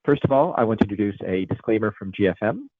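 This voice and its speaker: Presenter, male, American accent, low, heard over a thin phone-like call line. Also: expressive, fast, background noise. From Italy. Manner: many fillers and restarts, conversational lecturing. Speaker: webinar presenter. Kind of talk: presenting a finance webinar. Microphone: video call. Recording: tinny phone-like line, dry.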